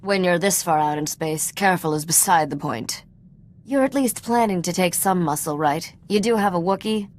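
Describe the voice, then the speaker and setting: A woman's voice: on the low side, expressive, moderate pace, a little mellow, a little hiss or hum, lightly acted. A woman playing a sly, self-assured treasure hunter and ship mechanic in a video game, dry and teasing. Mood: dry, concerned. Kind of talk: video game cutscene acting.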